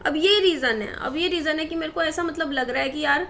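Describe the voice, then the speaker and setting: Worried high-voiced woman in her thirties. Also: brisk, a bit bright, a little hiss or hum. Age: 30s. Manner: worried, rambling, many fillers and restarts. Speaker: vlogger. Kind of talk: personal talk to camera.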